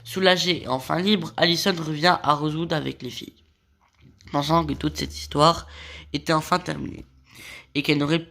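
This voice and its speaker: A man's voice: fairly high pitch, fairly even intonation, moderate pace, gravelly, sharp tone. A man with a cold summarizing a TV series plot for a video, often stumbling over his words and correcting himself. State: has a cold. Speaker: video creator. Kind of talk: series recap narration.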